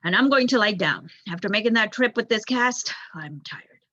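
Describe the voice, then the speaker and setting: A woman's voice: medium pitch, lively intonation, moderate pace, bright, forceful tone. A young woman voicing a tired, matter-of-fact male mouse in a storybook, weary and plain-spoken, on a dull recording.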